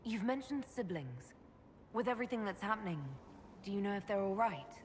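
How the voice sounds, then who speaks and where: A woman playing a composed, caring young leader in a video game, speaking calmly and directly. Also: medium pitch, brisk, big-room sound, slight background noise, natural voice. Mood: concerned.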